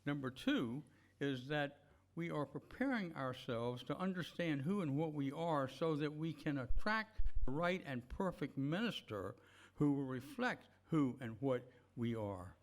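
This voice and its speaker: A man's voice: a little high, expressive, measured pace. A man leading a church service, giving blessings and announcements in an earnest, unscripted, encouraging way.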